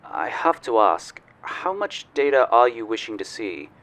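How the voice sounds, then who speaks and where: A man's voice: mid-range voice, big pitch swings, measured pace, a bit bright, poor audio. A man playing a genial, wry researcher in a sci-fi audio drama, speaking politely and a little formally, with playful touches. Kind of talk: audio drama acting, conversational.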